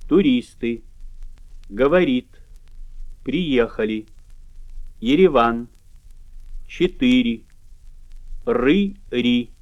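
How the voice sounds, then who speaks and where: A man's voice: mid-range voice, lo-fi sound. A man announcing lessons and reading pronunciation drills syllable by syllable. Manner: deliberate, syllable by syllable. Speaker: course announcer. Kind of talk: slow, careful pronunciation drills.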